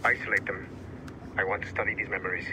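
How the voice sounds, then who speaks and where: A man's voice: fairly low pitch, level tone, quick pace, noisy background, lightly acted. An actor playing a curt, calculating male corporate executive in a video game. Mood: commanding. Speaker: game character.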